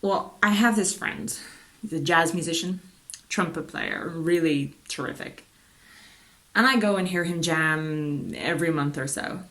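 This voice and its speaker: An actress as a reflective woman telling a personal story in a low voice. She is wry and a little wistful, chatting naturally as if to a confidant in an intimate drama. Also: a bit bright. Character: wry, wistful woman reminiscing about a musician friend. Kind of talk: naturalistic conversational film-style monologue.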